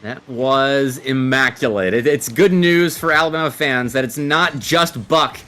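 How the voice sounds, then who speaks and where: A man's voice: mid-range voice, measured pace, pushed and bright. A man giving live analytical commentary on a video game match, explaining play in long sentences. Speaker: esports commentator, analyst caster.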